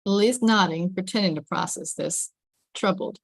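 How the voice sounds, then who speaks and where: A woman narrates stage directions from a drama script at a table read. Her voice sits at a middle pitch, and the recording is close and very dry. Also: normal speed, gravelly, no room sound.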